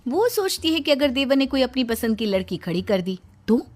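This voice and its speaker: A woman's voice: sits high, very animated, normal speed, a little mellow, soft background music. A woman narrating a cartoon story in a wry, knowing way.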